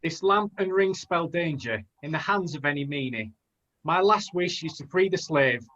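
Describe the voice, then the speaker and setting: A man's voice: fairly high pitch, moderate pace, fairly bright tone, close to an everyday voice. A man playing an earnest young pantomime hero, declaiming rhymed lines over a video call. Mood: determined. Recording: dull lo-fi video call audio.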